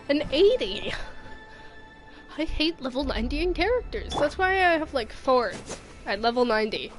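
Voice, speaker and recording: A woman doing casual gameplay commentary, rambling and thinking aloud in a playful, exclaiming way. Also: a little high. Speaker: game streamer. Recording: game music behind the voice. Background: video game music.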